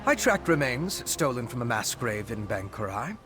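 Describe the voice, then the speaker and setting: Male actor as inquisitive fantasy game investigator, man, medium pitch, measured, studious, articulate, prominent background music. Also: very animated, natural voice. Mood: serious, explanatory. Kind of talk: video game character dialogue.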